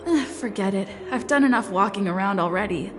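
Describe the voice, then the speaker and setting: An actress voicing an easygoing young woman traveler in a game, speaking in an unhurried way. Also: medium pitch, animated, over music, close to an everyday voice. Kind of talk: game dialogue.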